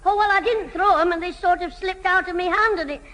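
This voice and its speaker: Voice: extremely high pitch, brisk, bright, forceful tone. An adult performer playing a cheeky, scheming Northern English schoolboy in a British radio sitcom from 1970, mock-innocent and full of smart answers. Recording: noisy old radio recording, echoey hall, audience.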